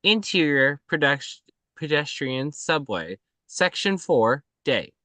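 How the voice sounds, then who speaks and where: A man reading screenplay stage directions aloud in halting, clipped phrases. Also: on the high side, big pitch swings, pushed and bright, no echo.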